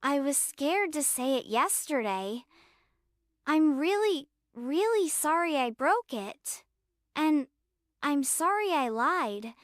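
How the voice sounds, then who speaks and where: A woman voicing an anxious, remorseful little girl in a children's storybook, hesitant and quiet. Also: sits high, very animated, slow pace, no echo, studio quality, exaggerated voice. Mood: nervous, remorseful.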